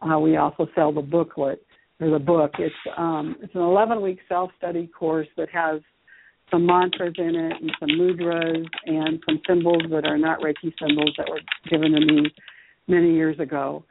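Gravelly woman on a phone-like line. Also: deep voice, flat delivery, normal speed, background noise. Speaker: guest, Reiki teacher and author. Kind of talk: radio interview conversation. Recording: muffled phone-like radio line.

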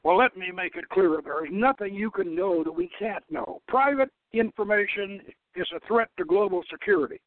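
A man's voice: high-pitched, lively intonation, moderate pace, hardly any pauses, no room sound, voice pushed up. A man voicing a sardonic, condescending bureaucrat of a dystopian future in a dramatic reading, lecturing in an officious manner. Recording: narrowband radio-like sound, dry.

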